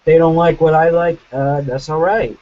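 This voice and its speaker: A man's voice: a little high, steady tone, normal speed. A young man chatting casually as the host of a group call stream. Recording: dull noisy internet call audio.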